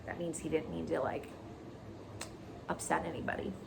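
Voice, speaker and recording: A woman's voice: low-pitched, little pitch movement, moderate pace, gravelly. A woman teacher reading a children's story expressively, with casual explanations. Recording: noticeable background noise.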